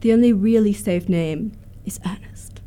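A woman's voice: medium pitch, moderate pace, warm tone, natural voice. A woman playing a self-assured, romantic young Victorian lady in a radio comedy, with an affected, emphatic manner. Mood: gushing, self-assured. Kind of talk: stage-comedy radio acting.

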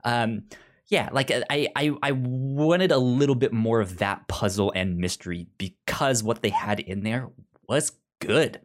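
Man chatting casually in a halting stammer. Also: medium pitch. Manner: halting, stammers and repeats words. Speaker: podcast co-host reviewing audio drama. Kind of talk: casual review chat.

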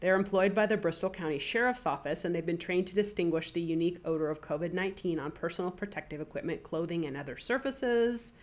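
A woman with a low voice, made a little deeper by a cold, hosts a casual podcast. She chats easily and reads news stories aloud, stopping to add her own comments. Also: fast talker, few pauses. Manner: chatty, reads news items aloud with asides. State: has a cold, voice deeper than usual. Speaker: podcast co-host.

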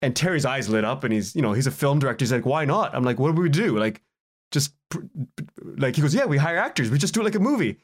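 A man's voice: medium pitch, expressive, fast. A man, a podcast guest telling anecdotes in a casual, self-deprecating way.

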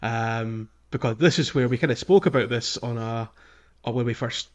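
A man co-hosting a podcast, thinking aloud in halting fragments during a casual gaming discussion. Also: mid-range voice. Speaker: podcast co-host.

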